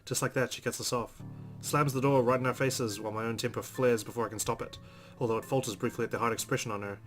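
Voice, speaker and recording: Mid-pitched man reading a game aloud. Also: steady tone, fast. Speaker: let's play host reading the game aloud. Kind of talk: expressive reading of visual novel narration with casual asides. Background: game music.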